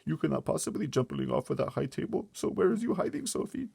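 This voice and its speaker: A man's voice: a little high, very animated, fast, vocal fry, mellow, husky, pitched above the performer's own voice, heavily acted. A man voicing a kindly, anxious giant in a storybook, flustered and stammering in quirky broken grammar. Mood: desperate, anxious. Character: nervous, kindly male giant, anxious and protective. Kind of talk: storybook read-aloud character voice.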